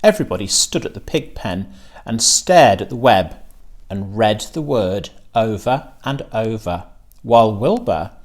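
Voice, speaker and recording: A man narrates a children's story at a slow, measured pace. His pitch moves widely, giving the scripted reading an expressive, animated shape. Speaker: storybook reader, teacher.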